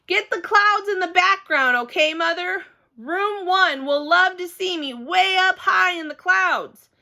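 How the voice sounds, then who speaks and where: A woman voicing an excitable, bossy, chatty little girl from a storybook, loud, eager and emphatic. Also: extremely high pitch, unhurried, voice pushed up, full character voice.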